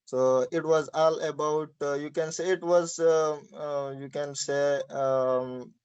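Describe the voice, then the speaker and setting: A man's voice: fairly high pitch, steady tone, takes it slowly, darkish tone, clear, resonant tone. A young man from Pakistan who speaks Urdu as his first language, talking in English with a Pakistani accent and giving hesitant answers full of fillers on a video call. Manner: hesitant, many fillers and restarts. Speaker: interviewee. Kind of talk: casual explanatory answers. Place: small indoor room.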